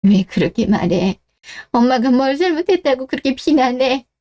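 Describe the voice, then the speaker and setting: Radio drama mother-in-law, played by a woman: older, domineering, indignant, raised pitch, forever scolding. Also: expressive, normal speed, warm tone. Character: domineering, scornful older mother-in-law, overprotective of her son. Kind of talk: melodramatic radio drama dialogue.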